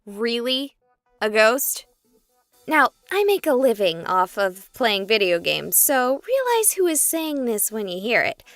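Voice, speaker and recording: High bright sarcastic streamer girl, chatty, game dialogue. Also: very animated, a little slow, no echo, soft background music, heavily acted. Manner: sarcastic, chatty, addresses viewers. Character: young woman streamer, sarcastic and talkative.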